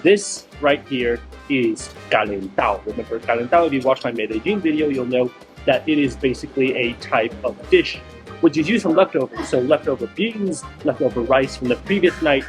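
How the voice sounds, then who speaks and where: A man hosting a travel food vlog, a little hoarse and raspy from feeling unwell, talking enthusiastically and repeating words for emphasis. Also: medium pitch, expressive, normal speed, soft background music. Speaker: food vlogger host. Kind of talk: casual travel vlog narration. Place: outdoors in a small-town plaza, then a tiny eatery.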